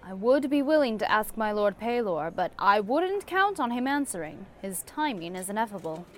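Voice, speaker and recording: A woman's voice: mid-range voice, big pitch swings, moderate pace, slight background noise, natural voice. A woman playing a devout, genteel cleric in a fantasy audio drama, with formal, precise speech. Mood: measured.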